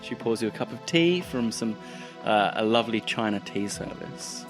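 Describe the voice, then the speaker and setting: Low-voiced, wry man as game master. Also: expressive, moderate pace, music in the background. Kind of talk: narrating a tabletop roleplay game.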